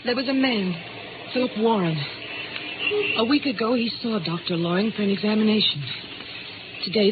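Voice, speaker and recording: An actress playing an anxious, hesitant American receptionist in a 1950s radio drama. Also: female voice, medium pitch, close to an everyday voice. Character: anxious young female doctor's receptionist, distraught and hesitant. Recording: tinny, noisy old radio recording.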